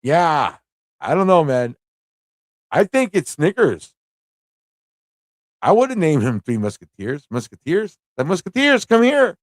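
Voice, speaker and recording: A man's voice: fairly high pitch, wildly swooping pitch, unhurried, pauses often, no room sound. Guy hosting a live trivia stream, super chatty n playful, voice goes up and down a lot, pretty dry sound. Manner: playful, chatty, thinking aloud to viewers. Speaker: host of a live pop culture show.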